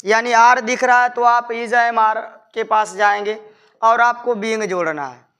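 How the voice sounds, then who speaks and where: A man's voice: very high voice, fairly even intonation, measured pace, pushed and bright, clean tone. A man with an Indian accent speaking as a teacher, explaining step by step in a didactic way and repeating his instructions. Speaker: English grammar teacher. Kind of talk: instructional lesson, explaining step by step in Hindi with English terms.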